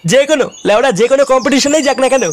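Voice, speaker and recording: A man with a West Bengali accent voicing a boastful, smooth-talking music teacher in a comedy cartoon. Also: very high voice, racing, slight background noise.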